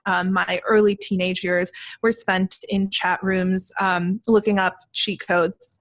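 A woman's voice: medium pitch, little pitch movement. A woman in her thirties, raised in the Netherlands with Dutch and Turkish as first languages, speaking fluently and articulately as a podcast guest over a video call from a home room. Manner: articulate, structured, quick and fluent. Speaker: guest, crypto investor. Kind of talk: casual podcast interview. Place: home room with brick wall. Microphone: desktop studio mic on video call.

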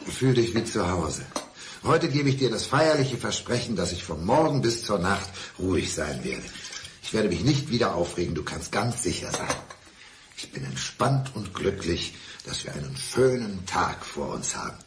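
An actor playing an intense, supposedly blind man clinging to his ex with false calm, in a deliberate, emphatic radio crime drama style. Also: low voice, a little hiss or hum. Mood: insistently calm.

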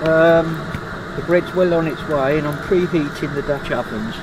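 A man's voice: fairly high pitch, moderate pace, background noise. Easygoing man cooking outdoors. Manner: easygoing, friendly, says mate. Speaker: guest cook. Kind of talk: casual explaining while cooking. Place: outdoors by a campfire in a forest.

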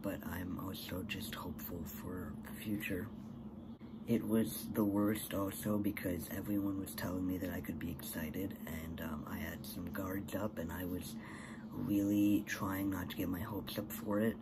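A young man talking casually to a webcam in his bedroom, soft-spoken with many fillers, often trailing off into breathy whispers. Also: deep voice, very noisy. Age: young adult. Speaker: vlogger. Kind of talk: casual personal vlog. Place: small bedroom indoors.

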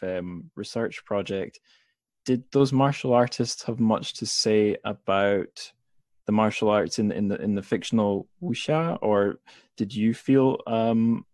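Lowish-voiced man hosting, dry sound. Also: darkish tone, no room sound. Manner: hesitant, rambling, circling back as he phrases questions. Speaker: podcast host, interviewer. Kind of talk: casual interview questioning.

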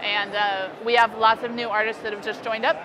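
Busy convention-hall chatter surrounds a young American woman. Her voice sits slightly high, and she explains things informally, like an artist chatting with an interviewer at her booth. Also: flat delivery, fairly quick. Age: young adult. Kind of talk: casual, informal interview presentation. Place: indoor convention expo booth. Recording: busy crowd noise around the voice. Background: convention hall crowd chatter.

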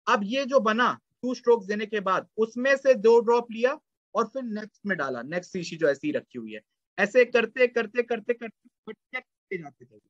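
High-voiced man lecturing over a webcam. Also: fairly bright tone, dry recording. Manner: explains in Hindi, reads English passages slowly. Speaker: homeopathy lecturer. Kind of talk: online lecture explaining slides. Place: indoor room, webcam lecture. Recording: dull, lo-fi sound.

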